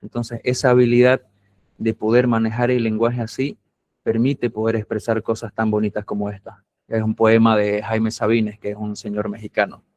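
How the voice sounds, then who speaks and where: A man's voice: on the low side, monotone, normal speed, darkish tone. A man from Santa Cruz, Bolivia, with a camba accent, lecturing a university class in a patient, explanatory way and often checking that students follow.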